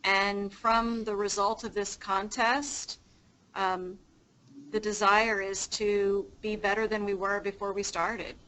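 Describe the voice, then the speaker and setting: A woman's voice: mid-range voice, little pitch movement, slow, a bit bright, rough recording. A woman taking part in a group call, giving an earnest spoken reflection. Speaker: call participant.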